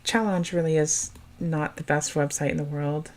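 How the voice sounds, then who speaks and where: Soft-spoken low-voiced woman commentator. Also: fairly even intonation, moderate pace. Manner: soft, chatty, laughs often. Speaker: stream commentator. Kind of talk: casual stream chat.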